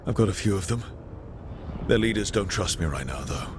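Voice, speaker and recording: Blunt fantasy hero in long reverb. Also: male voice, low voice, animated, brisk. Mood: firm. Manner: terse, challenging, plain-spoken. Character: blunt, resolute male hero leading a fantasy band. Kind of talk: dramatic video game acting. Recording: long reverb, clear background noise.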